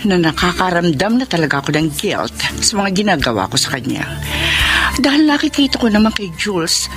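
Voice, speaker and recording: A proud, middle-aged Filipino boss in a radio soap. Her voice sits fairly low and moves expressively through each phrase. She speaks with an imperious air, with music underneath. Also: very animated, fairly quick. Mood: reflective, guilty. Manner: imperious, switching between Tagalog and English. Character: stern middle-aged female boss, haughty, guarding her image. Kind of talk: Philippine radio drama acting.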